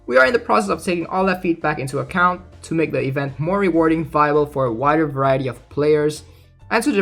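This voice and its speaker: A man giving casual commentary as he reads out game news, informal and thinking aloud. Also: on the high side. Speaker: gaming content creator. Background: background music.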